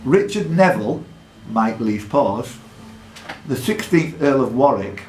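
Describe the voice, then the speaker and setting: A man hosting a live quiz in a pub, reading questions out deliberately and adding jokey asides. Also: mid-range voice, animated, a little mellow. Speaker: quizmaster. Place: indoor pub. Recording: echoey pub room with background noise.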